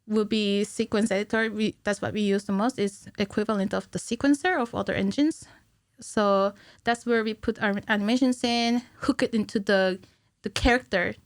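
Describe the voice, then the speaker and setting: A woman in her thirties explaining things casually as a podcast guest, with a giggly manner. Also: mid-range voice. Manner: giggly, animated hand gestures. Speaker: podcast guest, cinematic animator. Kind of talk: casual explanatory interview chat. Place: small indoor podcast studio with curtains. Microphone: studio mic on stand, headphones.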